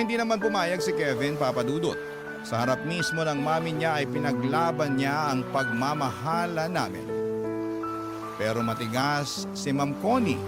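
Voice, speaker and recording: Sentimental, higher-pitched male narrator over loud music. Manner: anguished, sentimental, drawn-out pauses. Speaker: radio drama narrator. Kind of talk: dramatic first-person reading of a love letter. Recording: prominent music bed. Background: loud dramatic music.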